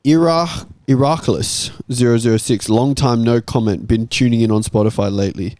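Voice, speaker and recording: A man's voice: mid-range voice, moderate pace, a little mellow, no echo. A man hosting a casual football podcast, reading out listener comments in a blokey, slangy way. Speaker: podcast host.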